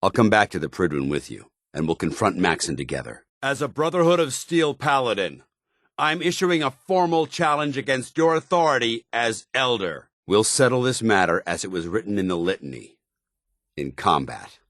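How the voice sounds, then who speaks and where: An actor playing an earnest, honour-bound soldier paladin in a video game, with a firm voice and grave, formal, deliberate delivery. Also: male voice, on the low side, expressive, fairly bright tone, dry recording, studio quality. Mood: determined. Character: earnest, honour-bound military paladin, a synthetic man troubled by his identity. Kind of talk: dramatic video game dialogue.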